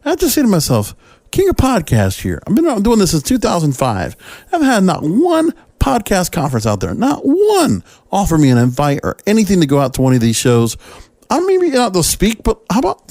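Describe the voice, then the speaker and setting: A man's voice: high voice, huge pitch swings, fairly quick, darkish tone, no room sound, clean studio sound. A man hosting a solo podcast in a casual, conversational and emphatic monologue. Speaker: podcast host.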